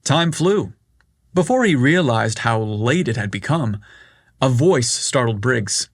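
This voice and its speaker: A man reading a scripted story aloud, telling it slowly and evenly. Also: medium pitch, expressive, dry recording, studio quality. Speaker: narrator.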